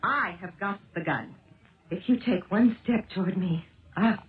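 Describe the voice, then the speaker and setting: An actress playing a determined, emotional wife turned amateur sleuth in a 1970s radio drama, earnest and dramatic. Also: female voice, mid-range voice, measured pace, lightly acted. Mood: tense, defiant. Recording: muffled, roomy 1970s radio recording.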